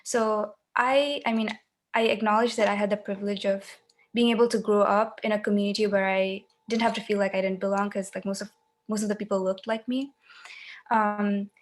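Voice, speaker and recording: Reflective young woman from Nepal. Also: medium pitch. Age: 20s. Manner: reflective, many "like" and "um" fillers. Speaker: panel guest. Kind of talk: casual panel discussion.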